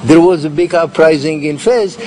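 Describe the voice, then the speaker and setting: A man from Morocco giving an explanatory documentary interview, haltingly repeating words as he goes. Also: on the high side, a crowd in the background, low-quality recording.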